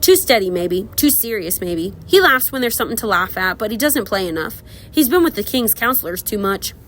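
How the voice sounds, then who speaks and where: A woman's voice: mid-range voice, very animated, a little fast, fairly bright tone, slight background noise. A woman reading aloud as a chatty, boastful middle-aged knight with a hearty, rambling manner.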